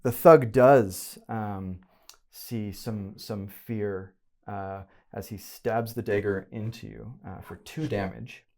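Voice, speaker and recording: Slow, creaky-voiced male game master. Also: fairly low pitch, fairly even intonation, darkish tone. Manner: halting, searching for words. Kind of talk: improvised tabletop game narration.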